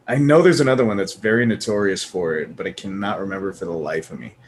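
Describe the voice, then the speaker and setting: Low-voiced man in animated panel chat. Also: fast, slight background noise. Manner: animated, swears, acts out studio voices. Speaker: podcast co-host.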